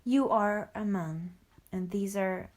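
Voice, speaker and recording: A calm, wise male panther from a storybook, voiced by a woman reading aloud. He talks low and steady, handing out patient advice in an unhurried way. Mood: gentle.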